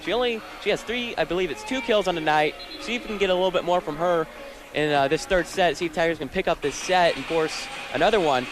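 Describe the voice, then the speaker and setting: A man's voice: high voice, fast, music in the background. A young man giving live analysis as a color commentator on a volleyball broadcast.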